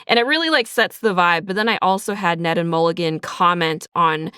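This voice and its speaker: A woman's voice: fairly low pitch, fairly quick, sharp tone, clean tone, no room sound, studio quality. A woman speaking casually in an interview as a writer, chatty and informal, peppering her talk with "like" and "sort of". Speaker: audio drama writer being interviewed. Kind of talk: casual behind-the-scenes interview.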